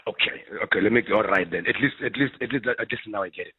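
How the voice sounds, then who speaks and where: A man's voice: fairly low pitch, quick pace, breathy edge, no echo. A man calling in to a radio show, talking animatedly and repeating words as he pushes his point. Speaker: radio caller. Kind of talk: call-in radio discussion. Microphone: telephone. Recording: tinny phone line.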